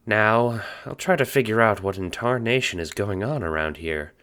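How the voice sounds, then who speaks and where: A man's voice: lowish voice, moderate pace, sharp tone, voice pushed up. A man voicing a kindly, folksy old grandmother in an audio drama. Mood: reassuring. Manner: folksy, calls people dear.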